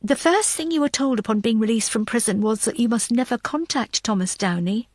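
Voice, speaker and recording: A woman's voice: on the high side, a little fast, no echo, clean studio sound, natural voice. A woman voicing a female police detective who questions a suspect firmly and probingly in an audiobook. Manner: quiet, firm, probing.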